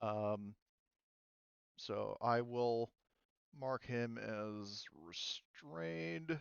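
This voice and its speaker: A man's voice: medium pitch, very slow, gravelly, clear, resonant tone, dry recording, lo-fi sound. A man acting as game master, casually refereeing a tabletop game and explaining rules step by step.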